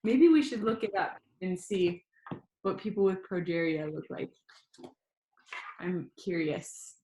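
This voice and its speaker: A woman reading a children's novel aloud, with gentle, chatty asides to a young listener. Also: lowish voice, lively intonation, a little slow, slight background noise. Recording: roomy echo.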